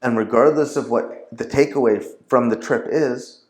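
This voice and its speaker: A man's voice: medium pitch, normal speed. A man speaking as a guest in a casual conversation, rambling and leaning heavily on fillers and restarts. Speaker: podcast guest. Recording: roomy echo.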